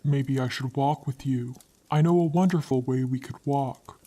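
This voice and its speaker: A creepy stranger, a man in his thirties, voiced by a woman reading a horror story, speaks in a lowered, mellow voice. His talk is soft and falsely friendly, but it pushes and insists. He never raises his tone. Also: moderate pace.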